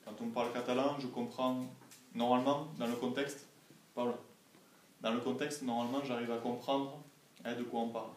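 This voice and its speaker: A man's voice: medium pitch, level tone, normal speed. Young Frenchman in an echoey room. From France. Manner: didactic, careful, frequent checking questions. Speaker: language assistant being interviewed by students. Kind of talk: informal classroom interview answers. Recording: echoey classroom, distant mic, faint noise.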